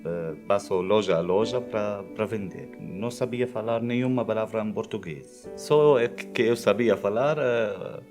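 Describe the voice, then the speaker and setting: A man of thirty-nine from Syria with a Syrian accent, a native Arabic speaker, giving a halting documentary testimonial. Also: fairly low pitch, darkish tone. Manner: halting, searching for words. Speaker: refugee, small business owner. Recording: clear music bed behind.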